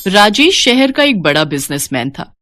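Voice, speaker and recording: A woman narrating a scripted children's story in an expressive storytelling style. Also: medium pitch, moderate pace, a little hiss or hum.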